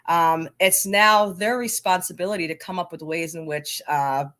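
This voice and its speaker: A woman's voice: fairly low pitch, moderate pace, fairly bright tone. A woman speaking as an interview guest, explaining things earnestly and conversationally. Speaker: interview guest from a coaches association.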